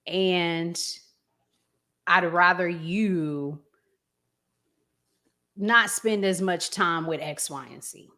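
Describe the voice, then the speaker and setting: A woman hosting a casual discussion, talking in a measured, deliberate way with pauses. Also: on the low side, sharp tone. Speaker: host.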